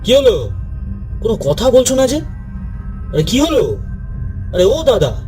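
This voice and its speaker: An actor playing a placating, easily flustered village tea seller in an audio story. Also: male voice, very high voice, wildly swooping pitch, slow pace, darkish tone, soft background music, exaggerated voice. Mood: puzzled. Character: friendly, eager-to-please, nervous male village tea seller.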